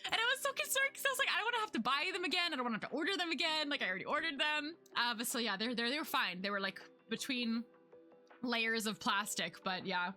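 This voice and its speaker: A young woman streamer chatting with her viewers in an easy, rambling way, laughing often and using "like" as filler. Her voice is bright and a touch high, and it sounds a little worn from a long stream. Soft music plays faintly in the background. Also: animated, fast talker. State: losing her voice. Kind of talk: casual chat with live chat.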